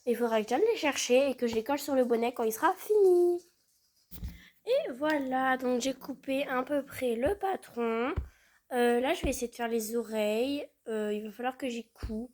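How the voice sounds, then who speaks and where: A woman's voice: high-pitched, moderate pace, a little mellow. A woman with a cold chatting hesitantly from her living-room sofa while doing a craft project. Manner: chatty, hesitant with many fillers. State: has a cold. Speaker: vlogger. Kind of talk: casual crafting vlog.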